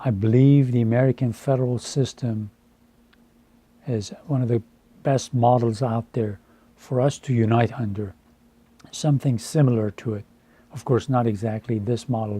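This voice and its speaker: A man in his fifties from Iran, a Farsi speaker, speaking deliberately and earnestly to camera with frequent hesitations. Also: medium pitch, dark tone, breathy edge. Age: 50s. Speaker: translator. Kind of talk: earnest personal address to camera.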